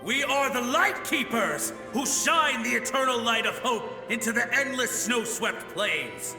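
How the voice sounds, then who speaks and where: An echoing, grim ghost soldier. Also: male voice, extremely high pitch, animated, a little slow, heavily acted. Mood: proclaiming. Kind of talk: video game voice acting. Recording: echoey reverb over game music.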